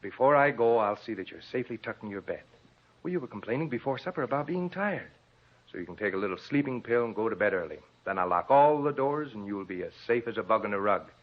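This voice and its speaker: An actor playing a patronizing husband, soothing and dismissive, in the coaxing manner of 1940s radio drama. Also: male voice, lowish voice, animated, fast. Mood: coaxing, reassuring. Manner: condescending, coaxing. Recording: muffled old radio broadcast recording.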